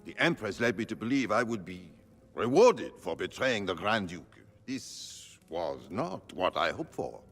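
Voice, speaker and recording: A man's voice: fairly low pitch, sing-song, measured pace, full character voice. A man playing a duped, embarrassed palace conspirator in a fantasy video game, flustered and pleading. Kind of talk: dramatic fantasy game dialogue. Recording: long hall reverb, background music.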